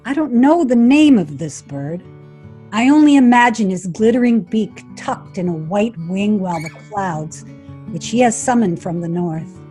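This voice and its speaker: A woman reading a poem aloud in a measured recital style. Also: medium pitch, lively intonation, dark tone, soft background music. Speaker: poetry reader.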